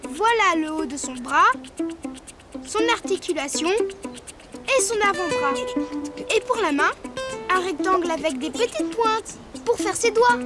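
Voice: very high-pitched, animated, a little slow, pauses often. An actor voicing a cheerful, helpful young rabbit who explains drawing step by step in a childlike way for a preschool cartoon. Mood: explaining. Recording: music under the voice.

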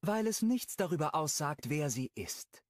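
A male audiobook narrator voicing a nervous, chatty middle-aged mother in a high, breathless voice. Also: sing-song, a little slow, no echo, clean studio sound. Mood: eager. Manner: high, breathless, eager to change the subject.

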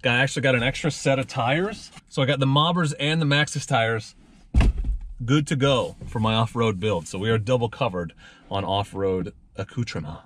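A man's voice: mid-range voice, moderate pace. Joking bearded man vlogging from a truck. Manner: joking, self-mocking, animated. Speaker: motorcycle vlogger. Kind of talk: casual road trip vlog. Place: inside a pickup truck and at roadside stops. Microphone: handheld action camera. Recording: background road and wind noise.

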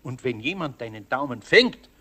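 A man's voice: mid-range voice, expressive, normal speed, sharp tone. A man playing an older craftsman with a Bavarian accent in a children's radio play, grumbly but caring, scolding and coaxing. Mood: firm warning.

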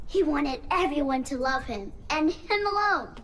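A woman's voice: high-pitched, expressive, unhurried, fairly bright tone, rough recording. A woman voicing a tender, solemn, knowing ghost of a little girl in a video game. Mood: solemn, accusing. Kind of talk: game acting, storybook-like delivery.

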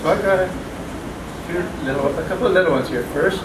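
An older man reading his own poems at an open mic in a small restaurant, halting and plain, stumbling and restarting now and then. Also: fairly high pitch. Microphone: stand mic, filmed from a far camera. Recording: echoey room, distant mic, background hum.

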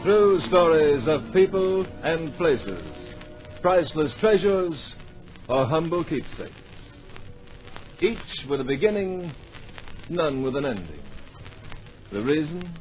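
A man's voice: a little high, lively intonation, takes it slowly, long pauses, soft background music. A man giving dramatic scripted narration for a radio drama in clipped, portentous phrases. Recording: dull, hissy old radio recording.

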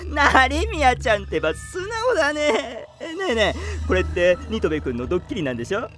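Man playing a breezy, animated studio senior. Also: extremely high pitch, normal speed, soft background music, full character voice. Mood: amused. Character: breezy, teasing male senior studio colleague.

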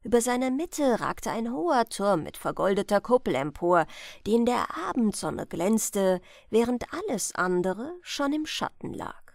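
A woman reading a fairy tale as a bedtime audiobook, in an expressive, measured storytelling manner. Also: a little high, creaky, no echo, clean studio sound. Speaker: audiobook reader.

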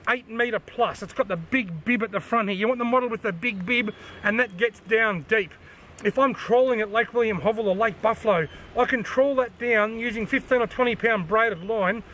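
A man's voice: sits high, fairly bright tone, a little hiss or hum. An Australian man in his forties, talking casually to camera outdoors at the edge of a forest, explaining things in a practical way and repeating the main points. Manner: chatty, practical, repeats key points for the viewer. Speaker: fishing channel host. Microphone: camera on a tripod at chest height.

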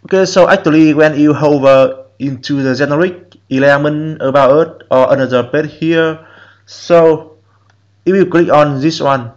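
A man whose first language is Vietnamese, giving halting step-by-step instructions in a screen-recorded software tutorial. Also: fairly high pitch, steady tone, dull audio. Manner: slow, halting step-by-step instructions in non-native English. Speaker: programming instructor.

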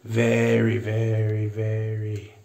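A man's voice: fairly low pitch, completely flat delivery, slow, creaky, mellow. A man in his thirties walking around a rural property, filming on a phone and chatting casually as he points things out. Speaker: vlogger giving a property tour. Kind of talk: casual walkthrough narration. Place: outdoors on rural land, then inside a farmhouse. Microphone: phone camera held at arm's length.

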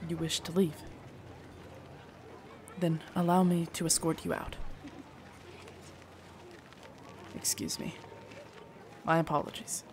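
A woman playing a loyal, protective female knight in an audio drama, formal, deferential and earnest, with a husky voice. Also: deep voice, level tone, measured pace, slight background noise. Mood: dutiful, gentle. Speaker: voice actor in audio roleplay. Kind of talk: acted immersive audio drama monologue.

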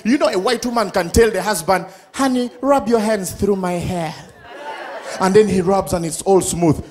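A middle-aged man with a Ugandan accent doing a loud, playful stand-up set on a big studio stage with a handheld mic. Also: high voice, moderate pace, a little hiss or hum. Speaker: stand-up comedian. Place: large studio stage with live audience. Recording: live stage sound with crowd and music. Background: audience laughter and music.

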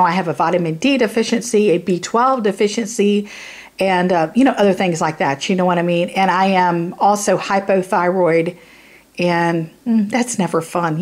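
A woman vlogger with a hoarse, raspy voice from sinus trouble, rambling casually through a supplement review to camera with lots of fillers. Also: mid-range voice. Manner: rambling, chatty, many fillers and "you know what I mean". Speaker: YouTube beauty vlogger. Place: indoors, filming a product close up.

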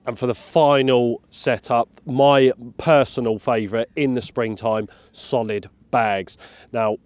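A man's voice: medium pitch, fairly even intonation, measured pace, no room sound. A middle-aged man talks through his gear in a friendly, conversational way. His voice sits in the middle range. He is recorded close on a clip-on mic, with a dry sound and almost no room around it. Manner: easygoing, enthusiastic, conversational. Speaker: carp fishing presenter. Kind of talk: instructional explanation to camera. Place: outdoors by a lake, beside a bivvy tent. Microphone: clip-on lapel mic with windshield.

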